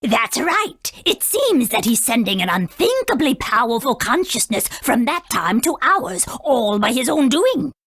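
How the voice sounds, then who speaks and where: An actress playing a proud, aged female sage in a video game, husky, formal and haughty, stern yet kindly. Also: on the high side, big pitch swings, unhurried, hardly any pauses, fairly bright tone, heavily acted. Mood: grave, explaining.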